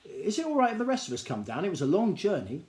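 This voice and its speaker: A man's voice: high-pitched, wildly swooping pitch, fast, a little mellow, voice pushed up. A man voicing a mild, bewildered and polite character in an audiobook, speaking hesitantly. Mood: puzzled. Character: puzzled, polite young man.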